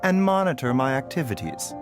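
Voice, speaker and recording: A man plays a composed, secretive physician in a fantasy game. He talks politely and formally in mid-pitched, richly varied tones, with music underneath. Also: big pitch swings, natural voice. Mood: smoothly defensive. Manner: measured, polite, formal, unruffled. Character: suave, composed, secretive male doctor. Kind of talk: video game voice acting.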